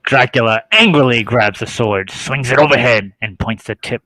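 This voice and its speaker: A man's voice: medium pitch, normal speed, sharp tone, dry recording, low-quality recording. A man reading stage directions as scripted narration for an amateur drama table read.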